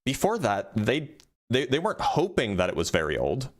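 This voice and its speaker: A man's voice: medium pitch, wildly swooping pitch, normal speed. A man hosting an online debate, patiently questioning a caller and explaining science with frequent restarts. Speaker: debate host.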